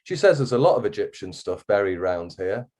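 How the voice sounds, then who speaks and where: A man's voice: lowish voice, big pitch swings, a little fast, darkish tone. A man voicing earnest, bossy schoolboys in a storybook reading. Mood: earnest, urgent.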